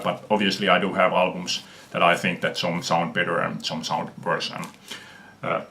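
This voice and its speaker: A man from Finland with a Finnish accent, talking earnestly about his music collection in an unhurried video response, pausing often. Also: low voice, fairly bright tone. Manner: earnest, unhurried, frequent "uh" pauses. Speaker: music fan vlogger.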